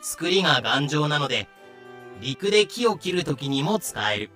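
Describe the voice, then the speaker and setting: Male narrator for audio drama: slightly high, crisp-edged bright tone, unhurried dramatic read. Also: sing-song, soft background music.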